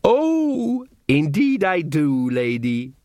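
A man reading an audiobook, voicing a cold and cruel male elf who speaks slowly and mockingly. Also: fairly high pitch, wildly swooping pitch, dark tone, no echo, clean studio sound, voice pushed up, exaggerated voice. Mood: menacing. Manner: slow, drawn-out, sneering delivery. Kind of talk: audiobook character voice.

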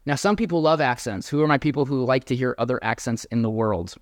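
A man's voice: mid-range voice, quick pace, no echo, clean studio sound. Man preaching an earnest sermon. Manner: earnest, emphatic, addresses the congregation directly. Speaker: pastor.

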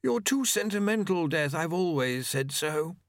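A man's voice: on the high side, expressive, measured pace, dry recording, studio quality, voice pushed up. A man voicing Famine, an arrogant, languid horseman of the apocalypse, with an airy, fussy, superior manner in an audiobook. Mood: disdainful, airy.

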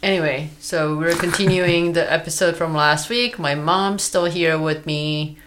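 A podcast co-host chatting casually, easygoing and unhurried, laughing often. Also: medium pitch, steady tone, fairly bright tone, slight background noise. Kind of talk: casual chat.

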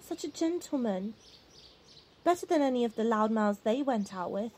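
A woman acts as a blunt young sweetheart with a high voice. She is wry and outspoken in an audio drama style, with some noise behind her. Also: animated, moderate pace, darkish tone, natural voice. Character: frank, witty young woman, son's sweetheart. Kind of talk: audio play acting.

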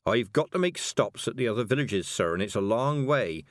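A man's voice: mid-range voice, expressive, moderate pace, no room sound, clean studio sound, natural voice. A man voicing a deferential, grumbling village carter in an audiobook, with a reproachful manner. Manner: reproachful, keeps saying sir. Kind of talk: audiobook character voice.

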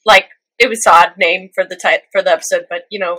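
A woman's voice: lowish voice, steady tone, fast talker, sharp tone. A young woman co-hosting a podcast, chatting casually in a playful, teasing way and running through the episode list. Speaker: podcast co-host. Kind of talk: casual chat.

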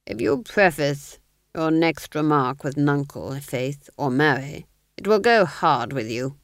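A woman's voice: deep voice, expressive, a little slow, no echo, studio quality. A woman voicing a scheming middle-aged male duke who commands with falsely friendly, silky menace. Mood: commanding.

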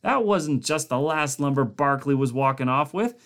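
A man voicing a grumbling middle-aged camp cook who complains loudly and bluntly. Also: mid-range voice, lively intonation, moderate pace, voice pushed up. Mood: grousing. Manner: loud, complaining, blustery.

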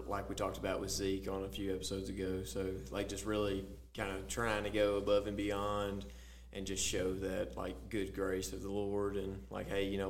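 Man telling stories with a faint hum behind. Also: lowish voice. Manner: storytelling, animated quotes, filler-heavy. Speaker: podcast co-host. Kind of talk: casual faith podcast chat.

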